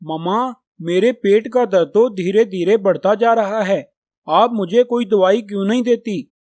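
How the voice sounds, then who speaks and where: Man voicing a high-pitched stubborn young cartoon crow. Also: unhurried, a little mellow, no room sound, studio quality. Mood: whining. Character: stubborn, greedy young male crow who fibs. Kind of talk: Hindi children's cartoon dubbing.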